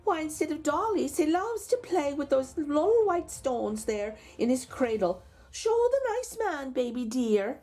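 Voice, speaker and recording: Woman playing a honeyed, high-voiced giant wife. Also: sing-song, a little slow, darkish tone, soft background music. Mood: doting. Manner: honeyed, coaxing. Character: sly, sweet-talking giant wife. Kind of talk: read-aloud children's storybook voice.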